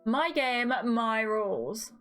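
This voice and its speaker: A bubbly young hostess played by a woman reading a game speaks in a high, excitable voice. She is playfully bossy, over faint background music. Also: slow pace. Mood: playful, firm.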